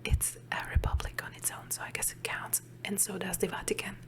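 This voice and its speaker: A young woman with an Italian accent, whispering softly and chattily into a close microphone for an ASMR Q&A. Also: medium pitch, little pitch movement, fairly quick. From Emilia-Romagna, Italy. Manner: soft unvoiced whisper, chatty with fillers. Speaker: ASMR channel host. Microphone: large studio mic close in front.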